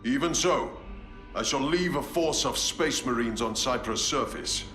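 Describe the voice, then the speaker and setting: An actor playing a stern, noble chapter master of space marines who speaks with commanding resolve. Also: male voice, mid-range voice, unhurried, fairly bright tone, natural voice. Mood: resolute. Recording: roomy game cutscene audio with music.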